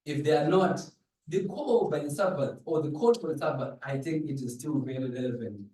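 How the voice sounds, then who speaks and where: A man's voice: a little high, fairly quick, dark tone. Zimbabwean man speaking from afar, echoey room. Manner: rhetorical, poses questions to listeners. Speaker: pastor giving a presentation. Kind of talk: public address in a dialogue forum. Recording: echoey room, distant microphone.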